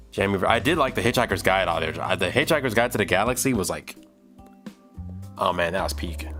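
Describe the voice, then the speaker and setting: A man chatting casually on a livestream while he works, thinking aloud in a halting, rambling way with repeated phrases. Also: on the low side, fairly bright tone. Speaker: livestream host and audio drama producer.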